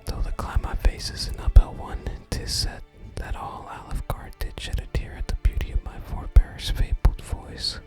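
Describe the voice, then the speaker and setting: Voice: normal speed, hardly any pauses, soft background music, lightly acted. An ASMR gamer voicing a wistful wandering male bard from a fantasy game, whispering his florid, archaic lines. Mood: lofty, musing. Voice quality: unvoiced whisper. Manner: archaic, theatrical phrasing.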